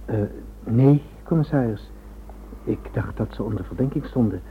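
A man's voice: medium pitch, moderate pace, lightly acted. An actor in a Dutch radio drama playing a dutiful, deferential junior policeman. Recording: noisy, tinny old radio recording with hum.

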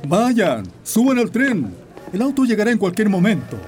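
Man playing a high-pitched, protective stern father. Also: sing-song, normal speed, a little mellow, faint music behind. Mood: commanding. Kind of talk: radio drama acting.

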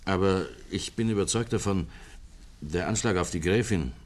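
An actor playing a polite, earnest police investigator in a 1950s German radio play, courteous and methodical with small hesitations. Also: male voice, deep voice, normal speed, darkish tone, close to an everyday voice.

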